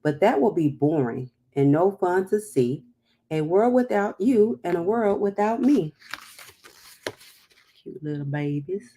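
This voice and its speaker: A woman's voice: fairly low pitch, animated, unhurried, warm tone. A woman hosting a storytime, reading a picture book aloud with chatty, encouraging, emphatic asides.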